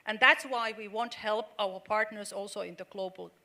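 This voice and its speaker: A middle-aged woman speaks on a forum panel in a bright, forceful voice. She talks earnestly and halts often, restarting phrases and filling pauses as she works through her point. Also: medium pitch, fairly even intonation, vocal fry. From Finland. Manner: earnest, halting, with many fillers and restarts. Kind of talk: panel discussion.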